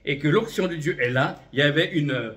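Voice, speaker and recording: A man's voice: on the high side, fairly quick, fairly bright tone. A man in his fifties, originally from Vietnam, an itinerant prophetic minister giving a religious testimony, speaking fervently and often adding amen and voilà. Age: 50s. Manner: fervent, peppers speech with amen and voilà, light chuckles.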